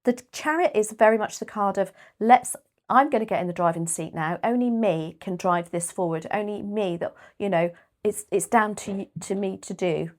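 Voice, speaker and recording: A woman with a British accent giving a casual tarot reading, chatty and rambling, her voice a little croaky and often clearing her throat. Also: mid-range voice, moderate pace. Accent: British English. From United Kingdom. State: slightly croaky voice. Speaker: tarot reader.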